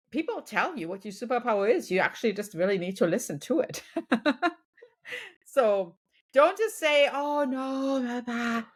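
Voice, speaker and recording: German-accented woman coach in conversation. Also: fairly high pitch. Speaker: business and wellness coach, podcast guest. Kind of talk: conversational interview, motivational advice.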